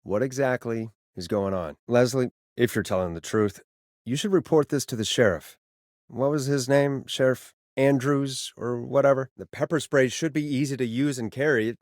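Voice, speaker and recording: A man's voice: mid-range voice, normal speed, no echo, clean studio sound, close to an everyday voice. An actor playing a sardonic male late-night radio talk show host who stammers a little under pressure, in a video game. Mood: skeptical, dry. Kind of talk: video game voice acting, conversational radio patter.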